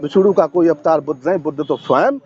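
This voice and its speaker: A man's voice: a little high, fast, mellow, husky, lo-fi sound. A man giving impassioned, assertive interview answers as a protester, stressing points by repeating phrases.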